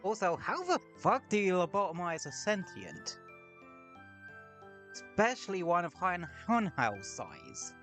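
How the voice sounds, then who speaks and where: A man's voice: high-pitched, very animated, unhurried, a bit bright, faint music behind. A man streaming a game, talking casually in rambling, sweary asides at home. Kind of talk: casual gameplay commentary. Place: home room with window open.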